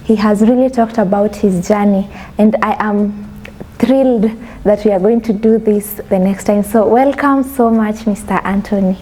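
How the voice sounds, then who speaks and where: A woman hosting a podcast interview, asking questions calmly and giving soft murmurs of agreement. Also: mid-range voice, moderate pace, rough recording. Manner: calm, many soft backchannel murmurs. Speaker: podcast host.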